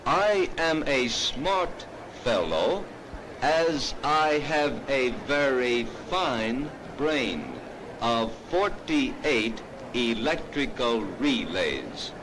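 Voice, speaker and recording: A man playing a boastful, cheeky giant robot showman, speaking in halting, deliberate phrases on a noisy 1930s film soundtrack. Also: mid-range voice, very animated. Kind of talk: 1930s stage demonstration patter.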